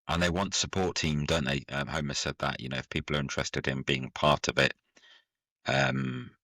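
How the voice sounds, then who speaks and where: A man's voice: a bass voice, moderate pace, dry recording, clean studio sound. A man co-hosting a podcast, chatting casually and acting out what people said. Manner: animated, acts out quotes. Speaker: podcast co-host.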